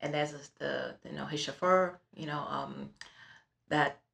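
A middle-aged woman with a Vietnamese accent telling her life story in an interview, halting with many fillers and restarts, recorded in an office with background noise. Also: low voice, steady tone, creaky, a bit bright. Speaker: interviewee, realtor. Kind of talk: personal life story in conversation.

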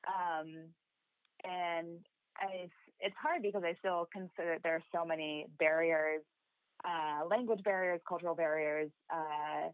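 A woman's voice: fairly low pitch, steady tone, unhurried, no echo. Woman on a tinny phone-like line. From United States (Ohio). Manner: hesitant, many "uh" fillers. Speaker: podcast guest. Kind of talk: casual conversation. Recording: thin phone-like line, dry.

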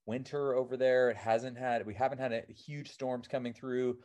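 A man's voice: medium pitch, steady tone, normal speed, a little mellow. Casting: American man, 30s, mountaineer guest, loose and rambling, plenty of "um" and "you know". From born in New Zealand, raised in Seattle. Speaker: alpine climber and filmmaker, guest. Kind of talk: casual interview answers.

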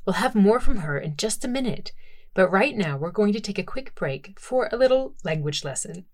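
A woman podcast host introducing a segment in an upbeat, clear presenting manner. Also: medium pitch, animated, normal speed.

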